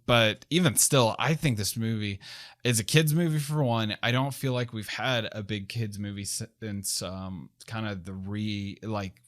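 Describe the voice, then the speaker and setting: A man's voice: lowish voice, a little slow, a bit bright. A man chatting casually and enthusiastically as a film review host, in an informal way.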